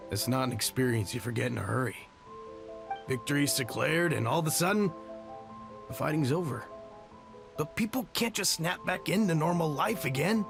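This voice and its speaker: An actor playing a friendly, loyal soldier who talks in a warm, earnest, chatty way. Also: male voice, on the high side, animated, normal speed, vocal fry, long pauses, music in the background.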